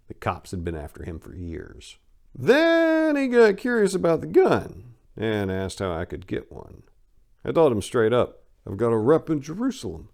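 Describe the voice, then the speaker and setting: A man reading a first-person story aloud like a host, in a blunt, informal and wry storytelling manner. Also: on the low side. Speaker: host and reader of a dramatic book reading. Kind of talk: dramatic first-person memoir reading with casual host commentary.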